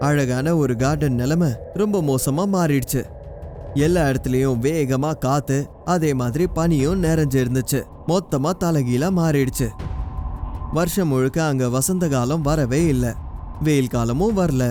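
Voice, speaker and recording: A man's voice: mid-range voice, measured pace, dark tone. A man narrating a scripted children's story in a colloquial storytelling manner. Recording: music behind the voice.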